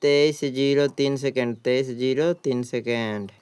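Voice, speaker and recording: Slow, clear male exam tutor. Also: mid-range voice, fairly even intonation, darkish tone, no echo. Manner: deliberate, repeats answers. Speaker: exam coaching teacher. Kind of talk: reading out practice questions and answers.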